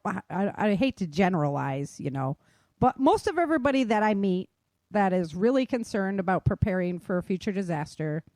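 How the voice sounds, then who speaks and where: A woman's voice: mid-range voice, a little mellow, no echo. A woman hosting a podcast as its author, speaking in an informal, conversational style. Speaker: podcast host and audio drama author. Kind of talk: casual podcast chat.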